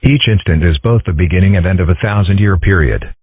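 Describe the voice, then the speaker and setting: A man as a deadpan low-voiced cartoon fox boy. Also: breathy edge. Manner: deadpan, measured, wordy. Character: calm, philosophical young cartoon fox boy, dryly skeptical. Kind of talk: amateur comic dub character acting. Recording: muffled, tinny phone-like audio.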